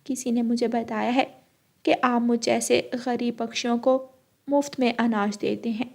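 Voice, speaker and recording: A woman voicing a meek, hungry myna bird begging in a Hindi cartoon story, quiet and pleading. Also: on the high side, fairly even intonation, moderate pace, creaky.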